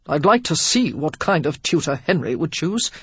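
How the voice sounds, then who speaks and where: A woman's voice: deep voice, very animated, moderate pace, fairly bright tone, dry recording, lo-fi sound, full character voice. A woman reading a dry, stern young lord. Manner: dry, gruff.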